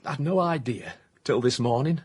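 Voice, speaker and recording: An actor playing a flustered, bereft middle-aged husband with a South Yorkshire accent, hesitant and awkward, in radio comedy drama. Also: male voice, medium pitch, normal speed, darkish tone, husky, natural voice. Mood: upset, bereft.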